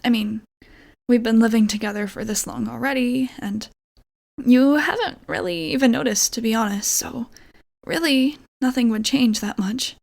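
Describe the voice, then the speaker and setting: Girl doing a nervous lady vampire roommate for asmr, kinda high voice w lots of vocal fry, rambly n apologetic. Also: moderate pace. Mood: tentative, hopeful. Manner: hesitant, rambling, self-deprecating, often dropping toward a whisper. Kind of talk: ASMR roleplay, softly acted monologue.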